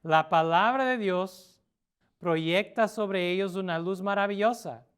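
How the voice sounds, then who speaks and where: A middle-aged man from Portugal, a native Portuguese speaker, preaching a sermon as a pastor with an earnest manner and frequent pauses. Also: high voice, fairly bright tone, smooth. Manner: earnest, with pauses and filler sounds.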